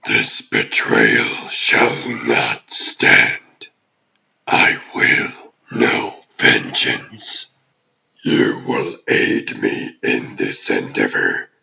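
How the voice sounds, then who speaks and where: Gravelly, abyssal-deep alien demon warlord. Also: male voice, very animated, bright, forceful tone, full character voice, poor audio. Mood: bitter, grandiose. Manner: pompous, slow theatrical villain speech. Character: menacing exiled alien demon warlord, grandiose and vengeful. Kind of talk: comic dub voice acting.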